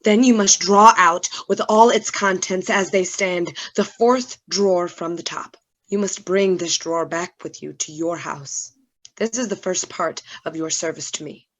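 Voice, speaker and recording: Tormented scientist for gothic radio: earnest, dramatic, pleading, on the lower side. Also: female voice, measured pace, fairly bright tone, low-quality recording. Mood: grave, pleading.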